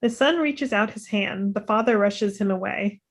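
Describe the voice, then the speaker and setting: A woman's voice: medium pitch, normal speed. A woman reading stage directions as scripted narration at a table read.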